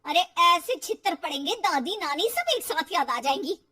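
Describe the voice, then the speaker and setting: Woman acting a high-pitched, exasperated scolding mother. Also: lively intonation, moderate pace, sharp tone, heavily acted. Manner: sarcastic, dramatic complaining and scolding. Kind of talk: cartoon moral story acting.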